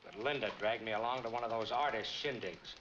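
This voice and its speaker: An American actor playing a wry, hungover, slangy newspaper reporter in 1940s film style. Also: male voice, on the low side, brisk, a bit bright, close to an everyday voice. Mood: weary, wry. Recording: noisy, dull 1940s film soundtrack.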